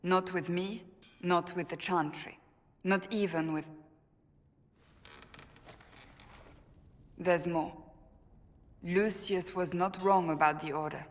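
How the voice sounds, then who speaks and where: A woman playing a stern, principled warrior in a fantasy game, speaking gravely and formally, shaken by betrayal. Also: fairly low pitch, fairly even intonation, in a large hall, lightly acted. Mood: troubled. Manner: grave, formal, deliberate phrasing. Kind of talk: dramatic fantasy game acting.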